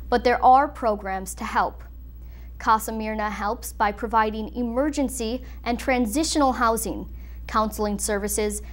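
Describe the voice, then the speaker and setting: A young woman anchoring a news show, reading a script in a measured, clear way. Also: a little high. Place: news studio.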